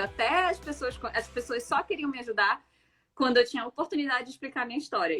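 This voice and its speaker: Talkative 20s woman, laughs a lot, kinda high voice. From Amazonas, Brazil. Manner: lively, talkative, laughs easily. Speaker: guest. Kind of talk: casual interview chat.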